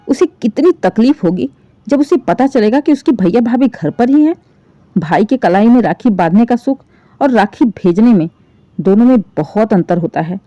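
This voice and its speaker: A woman acts out an aggrieved older mother-in-law from an audio family story. She lectures in a stern, reproachful way, her high voice swinging with indignation. The tone is soft-edged and mellow, on a dry, slightly dull recording. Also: no room sound, close to an everyday voice.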